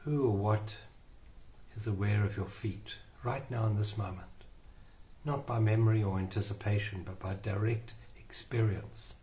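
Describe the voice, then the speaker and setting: A man guiding a meditation in a slow, soothing way with long pauses. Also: on the low side, fairly even intonation, dark tone, a little hiss or hum. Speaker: meditation guide. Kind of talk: guided meditation.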